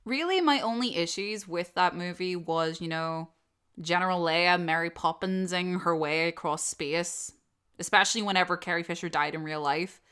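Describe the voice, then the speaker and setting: A woman host answering questions in a casual, opinionated and animated chat, swearing freely. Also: lowish voice, normal speed, smooth. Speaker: host of an audio channel.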